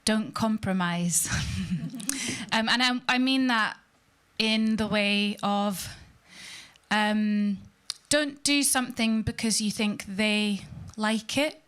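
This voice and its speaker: A woman speaks on a theatre panel in a frank, emphatic way, pausing to find her words. Her delivery is slow and her voice is smooth and clear. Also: medium pitch, fairly even intonation, a bit bright. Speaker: artistic director, panelist. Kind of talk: panel remarks.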